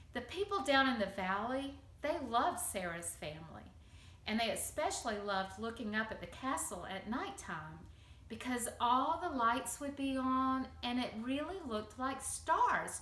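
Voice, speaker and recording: A woman with a fairly high voice tells a bedtime story to small children. Her phrasing is simple and expressive, and the room around her rings with clear echo. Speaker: preschool teacher telling a bedtime story. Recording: echoey room.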